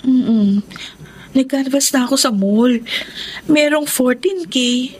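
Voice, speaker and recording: A woman's voice: high voice, slow pace, a little mellow. A woman playing a hesitant college-age younger sister in a Tagalog radio drama, coaxing as she talks. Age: young adult.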